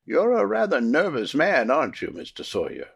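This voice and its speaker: A man acting as a kindly old Santa with a creaky, husky voice. He is polite and shrewd, with a very lively, swooping delivery, as in a comic radio play. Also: medium pitch, moderate pace, full character voice. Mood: gently needling. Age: older. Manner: polite, gently probing.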